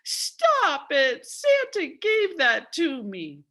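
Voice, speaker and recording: A woman's voice: high-pitched, wildly swooping pitch, extremely slow, pushed and bright, pitched above the performer's own voice, full character voice. A woman voicing an earnest, plaintive five-year-old girl in a told story. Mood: upset, protesting.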